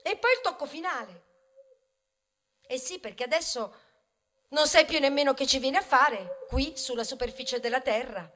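A woman's voice: high voice, animated, normal speed, pauses often. A woman playing a talking grain of wheat in a theatrical monologue, wry and indignant, moving between muttered asides and protest. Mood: bitter. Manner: ironic, conversational, swinging from muttered asides to loud protest. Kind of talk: theatrical stage monologue, ballad-like storytelling.

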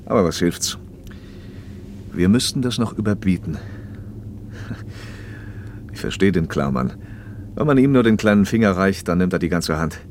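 An actor playing a paternal, probing factory manager in a radio play, speaking in a measured, slightly didactic way. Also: male voice, lowish voice, slight background noise, close to an everyday voice. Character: socialist factory manager, paternal, probing, talkative male official. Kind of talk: naturalistic German radio play dialogue.

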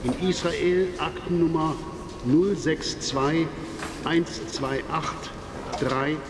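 Slow, formal male announcer. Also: a little high, steady tone, background noise. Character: formal radio announcer reading out names of the missing. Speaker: radio announcer reading lists of missing persons. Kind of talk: formal slow list reading.

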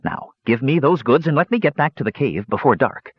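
A man's voice: mid-range voice, very animated, a little fast, slightly rough, highs cut off, pitched above the performer's own voice. A man voicing a crotchety old male dwarf hermit who talks stubbornly and curtly. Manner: stubborn, curt.

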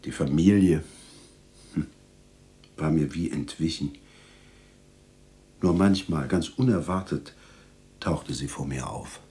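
An actor playing a haunted, brooding man who recalls a wartime childhood in a hushed, measured, introspective radio drama narration. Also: extremely low pitch, slightly rough, heavily acted. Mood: brooding, reflective. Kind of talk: radio drama, reflective first-person narration with dialogue.

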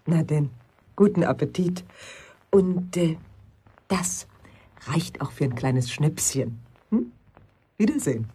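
A woman's voice: sits low, expressive, takes it slowly, pauses often, warm tone, breathy edge, full character voice. A woman acting a polite, grateful lady visitor in a German radio play, with a gracious manner of speaking. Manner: courteous, gracious.

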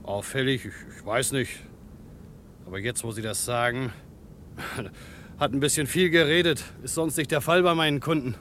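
A man's voice: medium pitch, normal speed, fairly bright tone, lightly acted. A man acting a hesitant petrol station attendant in a crime radio play, colloquial and thinking aloud. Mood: hesitant, casual. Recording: faintly noisy vintage radio play.

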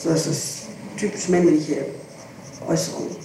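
A woman's voice: low voice, steady tone, normal speed, creaky. A woman testifying under questioning as a defendant, hesitant and evasive, often repeating her phrases. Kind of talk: courtroom testimony. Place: large echoing room, distant mic. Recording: echoey, noisy archival tape from far off.

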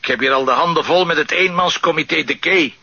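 Wry police commissioner, Flemish accent, very bright forceful tone, husky, radio caller, dry dull sound. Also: male voice, a little high, quick pace, no echo, full character voice. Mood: wry, friendly. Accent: Flemish Belgian. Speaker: voice actor in a detective serial. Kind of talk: radio crime drama, caller to a radio show.

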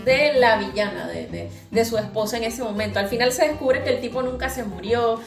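A woman's voice: fairly high pitch, brisk, creaky, roomy sound, over music. A woman chatting casually as a podcast co-host. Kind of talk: casual chat about television.

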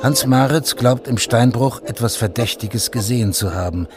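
A man's voice: mid-range voice, normal speed, music in the background. A man narrating a scripted radio drama in a sober, factual manner, in short plain statements.

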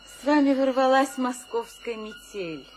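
An actress playing a composed, gently ironic woman confidante in a radio drama, measured and theatrical. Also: high voice, animated, soft background music. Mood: knowing.

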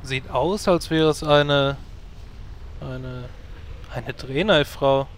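A man's voice: medium pitch, very slow. A man playing a dry, sarcastic male druid who leads a guild, in a parody audio drama.

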